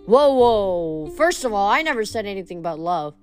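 A woman's voice: mid-range voice, sing-song, moderate pace, soft background music, close to an everyday voice. A woman voicing a flustered, earnest teenage boy who keeps protesting.

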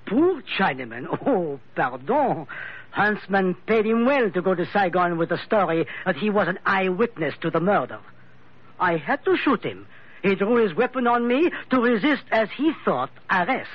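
A suave French investigator in a vintage radio thriller. His voice is high and husky, with a French accent and formal, courteous phrasing that swoops up and down. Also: big pitch swings, normal speed, heavily acted. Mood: explaining. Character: suave, suspicious Frenchman, insurance investigator with a pistol. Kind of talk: old-time radio drama acting. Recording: dull vintage radio recording.

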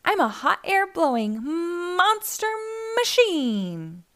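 A woman's voice: high-pitched, wildly swooping pitch, slow, a bit bright, pitched above the performer's own voice, full character voice. A woman voicing a brave, upbeat young male monster truck who exclaims with energy in a children's storybook. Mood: excited.

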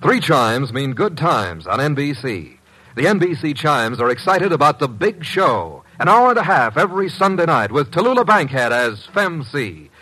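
A man's voice: fairly high pitch, very animated, moderate pace, bright, forceful tone, faint music behind, low-quality recording. A man announcing in a polished, promotional 1950s radio style, reading from a script.

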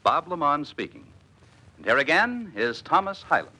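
Very bright, forceful male radio announcer. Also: a little high, very animated, moderate pace. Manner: formal, clearly enunciated. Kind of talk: 1950s radio announcing, scripted credits.

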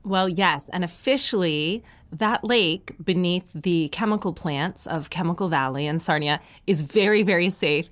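A woman's voice: on the low side, normal speed, hardly any pauses. A woman in her thirties from Canada, a touring comedian chatting as a guest with dry, self-mocking humor. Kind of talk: casual chat.

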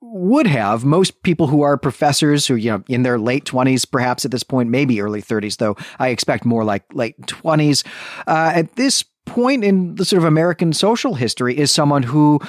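A man with a medium-pitched voice talks through ideas on a literary podcast. He is analytical and academic, wandering through long sentences as he reasons. The sound is dry, with very little room. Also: expressive, moderate pace, no room sound. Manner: discursive, thinking aloud in long winding sentences. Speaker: podcast co-host. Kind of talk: conversational literary discussion podcast.

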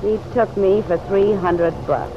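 A woman acts out a hard-edged city gal who is guarded and quick to take offense. She talks in curt, defiant slang, in old-time radio drama style. The sound is a dull vintage recording with faint noise. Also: mid-range voice, lightly acted. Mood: sore, indignant. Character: tough, guarded city woman, huffy and defensive.

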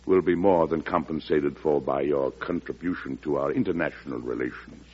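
An actor playing a shrewd, folksy American president with wry humour, speaking deliberately and oratorically in 1940s radio drama style. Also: male voice, very deep voice, darkish tone, faint music behind. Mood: formal, gracious. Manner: oratorical, deliberate, chuckling in private talk. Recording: dull old radio recording, husky sound.

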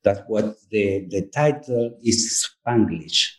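A man from Chile with a Chilean Spanish accent, a native Spanish speaker, speaking as an author in a podcast interview, halting and searching for words. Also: on the low side. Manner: halting, searching for words, frequent "you know what I mean". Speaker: author and performer, guest.

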